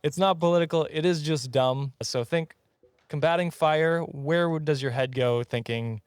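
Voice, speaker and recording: A man's voice: fairly high pitch, moderate pace, smooth, no echo, studio quality. A man hosting a podcast in casual, comedic chat, joking and chuckling as he goes. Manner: jokey, chuckling often. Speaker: podcast host.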